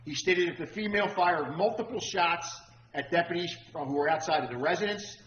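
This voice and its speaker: A man with an American accent and a husky voice, giving a deliberate, emphatic official statement as at a press briefing. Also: fairly high pitch, fairly bright tone. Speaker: sheriff's official. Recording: dull, muffled audio.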